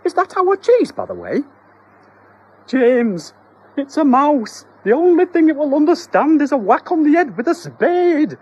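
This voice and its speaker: A man voicing a shrill, panicky, bossy wife in a screeching falsetto. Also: wildly swooping pitch, a little slow, a little mellow, heavily acted. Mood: exasperated. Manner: bossy, exasperated.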